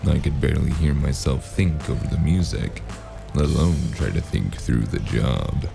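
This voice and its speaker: Very deep, gravelly noir loner. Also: male voice, normal speed, music in the background, heavily acted. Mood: brooding narration. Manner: wry, laconic, brooding. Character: world-weary male noir hero, terse and wry. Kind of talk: noir first-person narration and dialogue.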